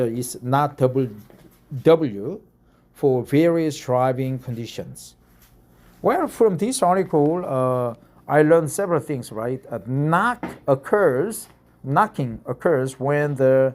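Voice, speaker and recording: A man in his fifties from Korea, with a Korean accent, reading an article aloud and commenting, halting with many fillers and repeated "right?"s. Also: fairly high pitch, darkish tone. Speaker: YouTube reader of articles.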